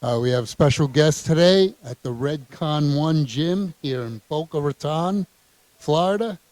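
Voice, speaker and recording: A man hosting an interview in a gym, asking questions with many fillers and quick agreements. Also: a little high, lively intonation, darkish tone, no room sound. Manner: hesitant, uses many fillers and short agreements. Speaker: host, interviewer. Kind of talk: casual interview.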